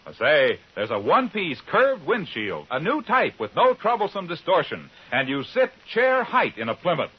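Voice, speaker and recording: American guy doing an old radio ad, very lively, rough-edged voice, muffled sound. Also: a little high, moderate pace, hardly any pauses. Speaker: commercial announcer. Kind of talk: 1950s radio advertisement.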